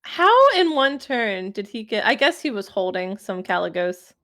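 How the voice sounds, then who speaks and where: A woman's voice: mid-range voice, big pitch swings, normal speed, sharp tone. A woman co-commentating a live card game stream, giggly and playfully teasing the players. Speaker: esports caster.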